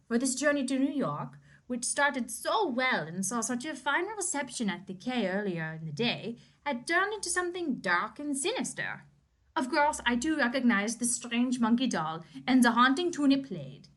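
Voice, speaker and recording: A woman co-hosting a casual podcast, chatting with playful giggles and reading a book aloud with lively emphasis. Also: a little high.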